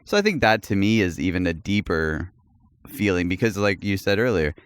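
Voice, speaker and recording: A man co-hosting a podcast, chatting in a relaxed, rambling way and laughing often. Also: deep voice, moderate pace, clean tone, dry recording. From United States. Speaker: podcast co-host. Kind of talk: casual chat.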